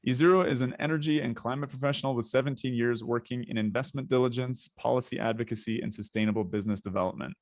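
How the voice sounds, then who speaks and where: A Canadian man hosting a podcast interview, talking in a relaxed way with hesitant fillers. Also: medium pitch, fast talker, hardly any pauses, dry recording, studio quality. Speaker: podcast host.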